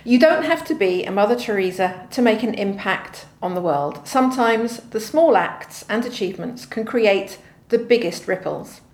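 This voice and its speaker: A woman's voice: mid-range voice, expressive, normal speed, few pauses, echoey room. A woman hosting an interview show, reading a scripted introduction and reacting with effusive, enthusiastic interjections. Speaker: host.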